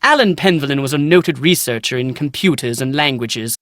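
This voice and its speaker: A man's voice: mid-range voice, lively intonation, brisk, a bit bright, no echo, clean studio sound. A man playing a bookish, pedantic historian in a game, speaking precisely and a little pompously. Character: bookish, pedantic male historian in a manor library. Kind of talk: game character dialogue.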